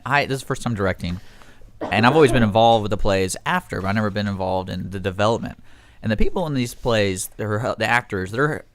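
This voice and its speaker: A low-pitched man chats casually as a radio host. He rambles and restarts his thoughts as he tells stories. The sound is close and dry, like a studio. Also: no echo. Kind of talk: casual live radio chat.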